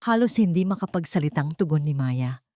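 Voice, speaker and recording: A woman's voice: low-pitched, lively intonation, fairly quick, mellow, no echo, clean studio sound. A woman reading a scripted dramatic story as narrator.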